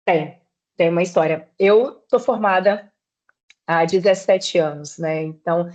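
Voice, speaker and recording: A woman in her thirties from southeastern Brazil, a podcast guest explaining her work in a measured way. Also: on the low side, darkish tone. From Espírito Santo, Brazil. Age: 30s. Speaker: guest, personal trainer. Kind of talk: podcast interview.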